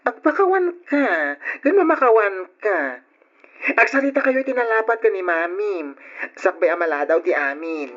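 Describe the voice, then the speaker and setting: A caring young friend coaxes and chides in a chatty, exclamatory way. The old radio drama recording is dull and lo-fi. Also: female voice, medium pitch, very animated, moderate pace, fairly bright tone. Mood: wistful, advising. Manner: exclamatory, coaxing.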